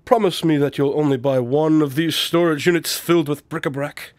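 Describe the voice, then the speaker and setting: Radio drama detective-inventor male actor: pompous, formal, calmly superior, higher-set voice, close dry sound. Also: brisk, no room sound, lightly acted. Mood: reluctant, pompous. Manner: formal, precise, pompous diction.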